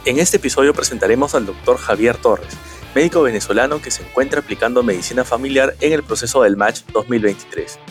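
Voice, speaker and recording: A man reading a scripted podcast intro in a measured, announcer-like way. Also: medium pitch, faint music behind. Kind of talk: scripted intro then greeting.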